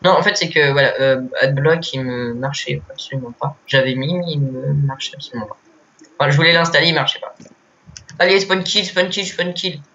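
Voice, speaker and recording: A young man who has a cold, joking around excitedly while playing an online game and stumbling over his words. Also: fairly high pitch, fairly quick. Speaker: gamer and channel host. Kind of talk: casual gaming chat. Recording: slightly muffled home mic with faint hiss.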